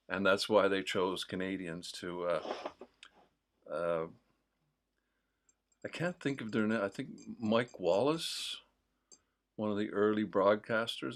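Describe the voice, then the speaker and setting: A man with a mild Newfoundland accent, hosting a livestream in a casual, rambling, digressive chat full of pauses. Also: on the low side. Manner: digressive, with many pauses and fillers. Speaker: host of a livestream.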